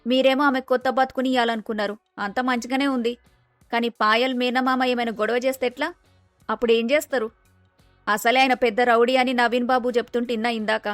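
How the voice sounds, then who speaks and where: A woman's voice: fairly high pitch, steady tone, fast, no echo, faint music behind, natural voice. A woman with a Telangana accent playing a blunt, scheming young daughter-in-law in a family story. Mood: sharp, scheming.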